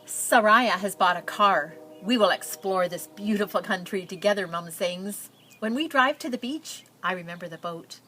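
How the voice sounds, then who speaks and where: A woman reading a picture book aloud, telling the story and pausing with simple explanatory asides for young listeners. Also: medium pitch, sharp tone, soft background music, low-quality recording.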